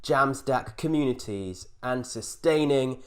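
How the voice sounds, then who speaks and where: A man's voice: mid-range voice, takes it slowly. A man with a British accent hosting a live-streamed developer conference, chatty and joking with the audience as he introduces speakers. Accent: British English. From United Kingdom. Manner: chatty, upbeat, jokey. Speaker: conference host.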